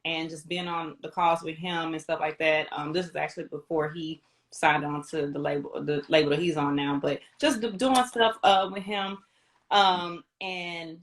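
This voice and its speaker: An American woman in middle age speaks in a fairly low voice. She is an interview guest, telling her story in a casual, conversational way and acting out little bits of remembered dialogue as she goes. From Houston, Texas, United States. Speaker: interview guest, radio promotions representative.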